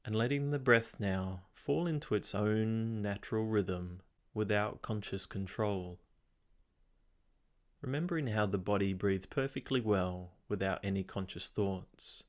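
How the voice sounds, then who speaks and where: Low-voiced man guiding a meditation. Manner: measured, calmly instructing with long pauses. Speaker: meditation guide. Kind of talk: guided meditation narration.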